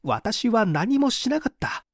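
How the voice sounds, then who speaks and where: Man acting an agitated, high-pitched male suspect. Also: sing-song, measured pace, no echo, studio quality, exaggerated voice. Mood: anguished protest. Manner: agitated, pleading, protesting. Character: distressed, defensive male murder suspect under interrogation. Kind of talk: dramatic audiobook reading.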